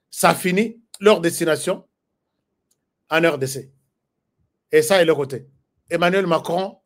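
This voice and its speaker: A man with a Congolese accent giving emphatic, impassioned political commentary, repeating phrases for force. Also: a little high.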